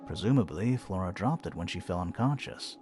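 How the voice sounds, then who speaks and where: An inquisitive game detective, with a very lively, swinging pitch. He thinks aloud in a conversational, expressive way, reasoning step by step over clear background music. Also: a little fast. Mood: curious, unsure. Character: inquisitive male detective piecing together a murder mystery. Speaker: detective character in a mystery game. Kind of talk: video game voice acting, conversational deduction.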